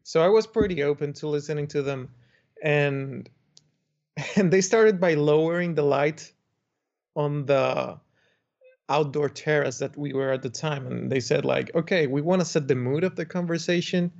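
A man's voice: a little high, normal speed, vocal fry, darkish tone. A young man from the Dominican Republic, an architect guest telling his story in a chatty way with restarts. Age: young adult. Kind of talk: interview conversation. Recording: muffled lo-fi remote audio.

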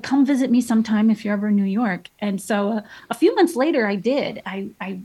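Woman, 30s, painter guest. Bright-pitched, a bit husky, loose conversational delivery full of ums. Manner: chatty storytelling with many fillers and restarts. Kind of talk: casual interview chat.

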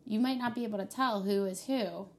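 A young woman hosting a casual podcast from a kitchen, ranting and cursing with excitable energy. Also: mid-range voice, a little fast. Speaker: podcast host. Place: kitchen at home.

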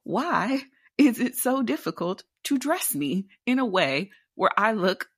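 A woman in her twenties with a deep alto voice, talking candidly as a theatre actor in a casual interview, animated and full of rhetorical questions. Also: unhurried, slightly rough, no room sound, clean studio sound. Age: 20s.

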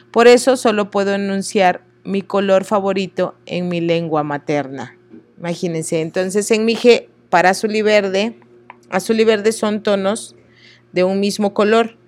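A woman's voice: mid-range voice, darkish tone, no echo. A woman from Oaxaca, reading texts aloud and stopping for earnest, apologetic asides when she stumbles over unfamiliar words. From Oaxaca, Mexico.

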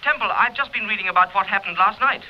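An actor playing a nervous, apologetic, halting Englishman, heard through a telephone filter in a mid-century radio drama. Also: high-pitched, muffled recording, a little hiss or hum. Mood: anxious, polite. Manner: halting, stammering politeness. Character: nervous, apologetic, hesitant man telephoning a sleuth. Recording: thin phone sound on old radio recording.

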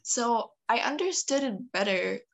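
A woman's voice: fairly high pitch, lively intonation, measured pace, creaky, a little hiss or hum, rough recording. A young woman from Indonesia, speaking English as a second language in a casual interview, with many fillers and restarts. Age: young adult. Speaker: interview guest, computer science student.

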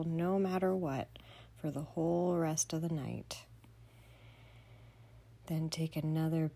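A woman leading a children's bedtime meditation in a soothing, gentle manner, often dropping to a breathy near-whisper. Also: on the low side. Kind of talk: guided bedtime meditation for kids.

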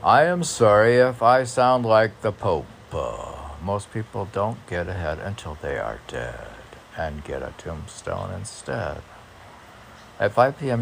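A man reading poems aloud informally as a poet, with halting, musing asides between the lines. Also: on the low side, gravelly, slight background noise.

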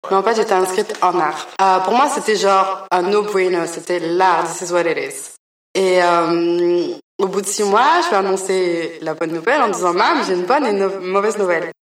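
A woman's voice: lowish voice, normal speed, recorded from a distance. Laughing woman, echoey room. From Belgium. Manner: lively, laughs often, tells stories. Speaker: artist guest. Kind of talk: casual interview storytelling.

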